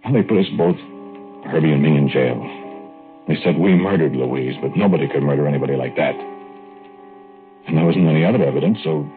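An actor playing a folksy American small-town fellow in a 1940s radio horror drama, speaking in loose, ungrammatical everyday talk. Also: male voice, rumbling low, faint music behind. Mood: subdued, matter-of-fact. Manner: colloquial, ungrammatical, halting storytelling. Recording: dull, noisy old radio recording.

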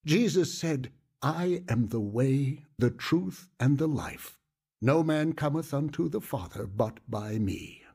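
An actor playing a patient male pastor in an audio drama, earnest and gently instructive with a husky voice. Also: medium pitch, lively intonation, takes it slowly, full character voice. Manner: earnest, gently instructive, quotes scripture solemnly. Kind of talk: audio drama dialogue, preaching.

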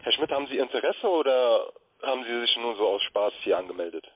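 A man's voice: mid-range voice, lively intonation, fairly quick. A man making a sales phone call as a trading agent. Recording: roomy, muffled lo-fi phone line.

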